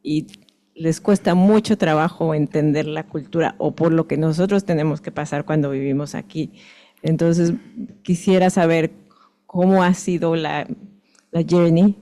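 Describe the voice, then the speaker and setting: A woman in the audience asking a halting question, slipping in an English word. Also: sits low, steady tone, dark tone. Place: library event room.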